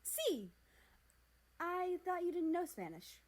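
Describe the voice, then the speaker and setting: A woman's voice: sing-song, slow pace, full character voice. A woman voicing a sweet, shy, easily flustered male friend in a story, with a bashful manner. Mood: delighted. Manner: squeaky when flustered, bashful.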